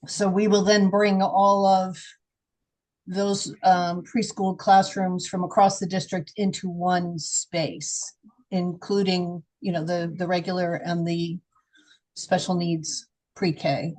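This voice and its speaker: A woman's voice: on the low side, little pitch movement, slow pace, darkish tone, poor audio. An older woman speaking as a school board chair, giving an informal update on a video call from home. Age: older, grandmother.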